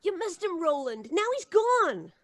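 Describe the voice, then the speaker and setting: An actress playing an eager, excitable child in an old-time radio comedy. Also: female voice, very high-pitched, unhurried, dry recording, heavily acted. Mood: excited.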